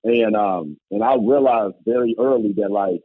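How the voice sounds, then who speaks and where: A man from Indiana with a loud voice, a former basketball coach, telling his story in an emphatic, animated way. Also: lowish voice, moderate pace, dry recording. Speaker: guest, former college basketball coach turned musician. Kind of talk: casual conversation. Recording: tinny phone-like line, dry.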